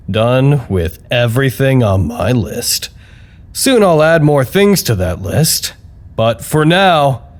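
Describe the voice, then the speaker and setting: An actor playing an anxious, earnest office worker who records wry, reflective, halting confessional reports in an audio drama. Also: male voice, medium pitch, a little mellow. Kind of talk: audio drama monologue, intimate log narration.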